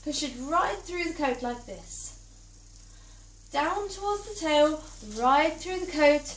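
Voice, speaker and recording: A woman reading plays aloud as an amateur actor, brisk and chatty. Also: high voice. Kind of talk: play reading, theatrical. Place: small theatre stage. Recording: roomy theatre from far off.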